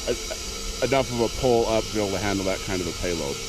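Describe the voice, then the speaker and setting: A man's voice: lowish voice, racing, rough recording. A man explaining a hands-on technical job in casual conversation, as an instructor. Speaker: balloon launch instructor.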